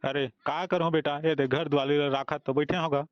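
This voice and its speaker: A man's voice: a little high, flat delivery, fairly quick, muffled recording, heavy background noise, close to an everyday voice. A man voicing a grumbling but affectionate old village grandfather in a comic cartoon, with a Chhattisgarhi accent and a rambling, folksy way of talking. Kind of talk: comic dialogue in an amateur animated cartoon. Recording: music behind the dialogue.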